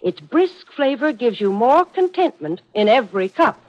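A woman reads a scripted sponsor pitch in 1940s radio style. Her voice is fairly high and very animated, with polished sales delivery. The recording is dull and lo-fi. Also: moderate pace.

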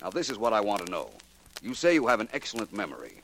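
An actor playing a suave, self-assured private investigator in a 1940s radio drama, polite, dry and unruffled. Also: male voice, fairly low pitch, wildly swooping pitch, fast, creaky, a bit bright, heavily acted, rough recording. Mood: patient, sardonic.

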